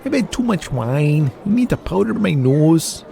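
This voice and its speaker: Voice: mid-range voice, sing-song, unhurried, vocal fry, warm tone, slight background noise. An actor playing a modest, flustered wrestler's wife who is bashful, folksy and apologetic in an audio drama. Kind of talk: audio drama character acting.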